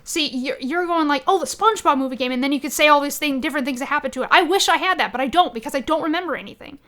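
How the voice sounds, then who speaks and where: Woman w high voice doing casual podcast chat, expressive, acts out little remarks. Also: rapid-fire. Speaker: podcast co-host. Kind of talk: casual chat about video games.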